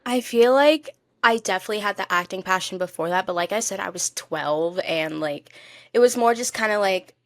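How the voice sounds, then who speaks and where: A woman's voice: mid-range voice, moderate pace, no room sound. Gushing young woman, close dry sound. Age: young adult. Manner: gushing, emphatic fan talk. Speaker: podcast co-host. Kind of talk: casual chat.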